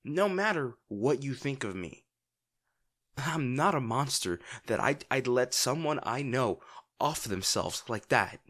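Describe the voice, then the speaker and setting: A young man playing a brash teenage bully with a secretly caring side in a dramatic audio roleplay, speaking gruffly with a husky, breathy voice, swearing and sighing. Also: mid-range voice, animated, a bit bright. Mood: exasperated, concerned. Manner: gruff, swearing, sighing, halting when upset.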